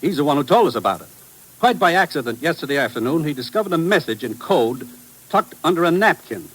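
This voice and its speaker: An American actor playing an authoritative, matter-of-fact commissioner in a 1950s radio drama. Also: male voice, fairly high pitch, sing-song, fast talker, a bit bright, breathy edge, exaggerated voice, poor audio. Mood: businesslike, grave. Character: businesslike male commissioner briefing his agent. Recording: vintage 1950s radio recording.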